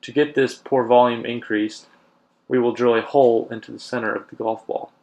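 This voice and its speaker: A young man from Kentucky, an engineering student reading a class presentation quietly and levelly. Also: mid-range voice, fairly even intonation, normal speed, highs cut off. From Lexington, Kentucky. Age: young adult.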